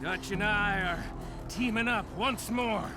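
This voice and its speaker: An actor voicing a smug, menacing Old West outlaw villain in a video game, with an American accent and a sneering, gravelly drawl. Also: male voice, high voice. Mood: menacing, coaxing. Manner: sneering, drawn-out taunting delivery. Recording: loud music under the voice.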